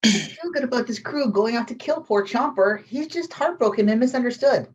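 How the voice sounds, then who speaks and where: A woman's voice: fairly high pitch, animated, fairly quick, lightly acted. A woman at a script table read playing an earnest, persuasive, idealistic peacemaker, on a poor microphone. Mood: earnest pleading. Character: earnest, idealistic peacemaker who pities the shark. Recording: dull, distant lo-fi mic.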